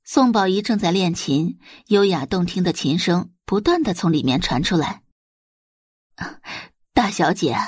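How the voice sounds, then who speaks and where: A woman narrates an audio drama from a script. Her delivery is expressive, with a widely moving pitch and a slightly husky tone, recorded very dry. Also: normal speed, few pauses, dry recording.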